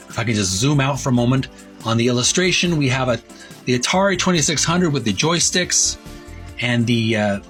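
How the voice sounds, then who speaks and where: With music playing behind him, a man with a slightly high voice hosts a casual show-and-tell. He speaks deliberately and haltingly, in wordy phrases that he often repeats or rewords as he describes and reads out what he is showing. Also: a bit bright. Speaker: host of a retro gaming video channel. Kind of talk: casual narrated show-and-tell, reading printed ad copy aloud.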